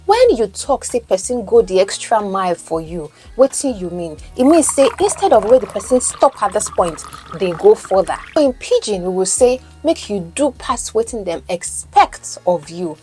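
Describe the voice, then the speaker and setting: A woman's voice: medium pitch, big pitch swings, moderate pace, dark tone, slightly rough, faint music behind. A Nigerian woman whose first language is Yoruba, teaching English as a coach and podcast host, explaining and asking the listener questions. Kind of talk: teaching lesson, mixing in Pidgin.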